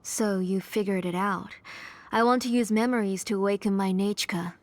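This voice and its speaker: A woman's voice: mid-range voice, fairly even intonation, no echo, lightly acted. Woman acting a grieving, obsessed mother. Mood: resolute, fixated. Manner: measured, brooding, tenderly fixated. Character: grieving, obsessed mother, retired name forger. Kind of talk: dramatic video game voice acting. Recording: faint music behind the voice.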